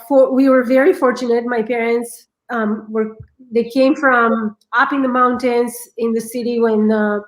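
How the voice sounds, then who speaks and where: A woman in her forties from Romania with a Romanian accent, telling her life story as a guest in an earnest, halting way. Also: a little high, roomy sound, rough recording. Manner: earnest, halting, many restarts. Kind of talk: personal storytelling.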